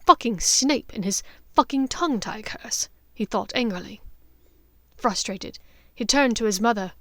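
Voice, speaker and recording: A woman's voice: fairly high pitch, measured pace, pushed and bright, no echo. A woman reading dramatic fiction as an audiobook narrator.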